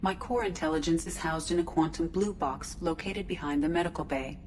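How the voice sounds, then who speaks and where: A woman voicing a starship artificial intelligence in a video game, measured, precise and detached in manner. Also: low voice, darkish tone, close to an everyday voice. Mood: calm, detached. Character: calm, formal female starship artificial intelligence. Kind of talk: scripted video game dialogue. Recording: clear background noise, ship ambience.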